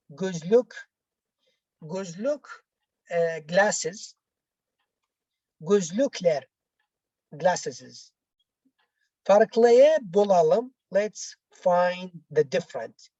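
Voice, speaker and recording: Casting: female language teacher, non-native English speaker, unhurried and halting, soft-edged mellow tone, walking learners through exercises. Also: on the low side, no echo, highs cut off. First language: not English. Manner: halting, repetitive, explaining step by step. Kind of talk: bilingual lesson, reading out exercises and explaining answers.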